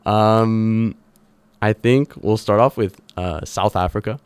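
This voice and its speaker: Male radio guest, entrepreneur, lowish pitch, smooth clear tone, conversational answers, dry studio recording. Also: slow, no echo.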